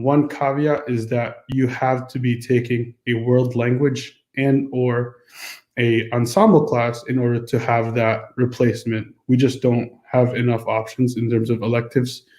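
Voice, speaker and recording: A man's voice: mid-range voice, a little mellow, roomy sound. A man on a school's staff, with an American accent, walking through a course registration form in a casual, explanatory way with many fillers. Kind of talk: screen-recorded walkthrough.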